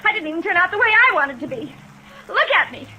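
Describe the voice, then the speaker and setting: A woman's voice: extremely high pitch, quick pace, highs cut off, a little hiss or hum, heavily acted. An actress playing a desperate, bitter, fearful young woman in a 1950s radio drama, emotional and plaintive, on a vintage recording. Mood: frantic, angry. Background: steady rain sounds.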